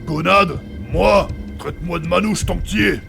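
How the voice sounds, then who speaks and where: Nomad warrior, male actor, comedic fantasy audio play: heavy fry, blunt, coarse, mocking, music behind. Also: sits high, moderate pace. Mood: offended. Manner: taunting, blunt, crude. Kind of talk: French comedic fantasy audio drama acting.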